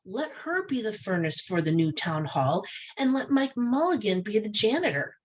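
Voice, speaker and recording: A woman voicing an eager, earnest little boy with bright ideas in a storybook reading. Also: mid-range voice, normal speed, highs cut off, natural voice. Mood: earnest, suggesting. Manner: excited, urgent, earnest.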